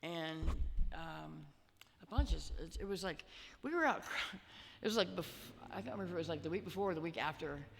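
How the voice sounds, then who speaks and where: A woman's voice: on the low side, animated, a little fast, creaky, slight background noise. A woman from Missouri, a musician being interviewed, telling a story casually with lots of fillers and restarts. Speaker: musician guest. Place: reception hall. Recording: reverberant hall.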